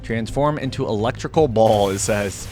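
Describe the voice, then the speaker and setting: A man's voice: fairly low pitch, moderate pace, darkish tone, soft background music. A young man hosting a reaction video, thinking aloud in a casual, rambling way and often repeating words.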